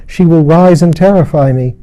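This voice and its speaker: Guy doing a tired dying princess from a storybook, scared n pleading, very soft dark tone, pitched up a bit. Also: moderate pace, dull audio, close to an everyday voice. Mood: fearful. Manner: murmuring, moaning, pleading. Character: Lilith, weary dying princess, fearful, pleading, proud woman.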